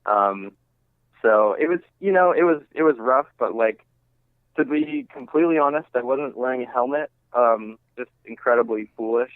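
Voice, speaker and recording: A man giving a casual radio interview as a musician, talking informally and haltingly, working out each thought as he goes. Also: medium pitch, big pitch swings, dry recording. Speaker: interviewed singer-songwriter and music teacher. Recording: thin phone-line sound.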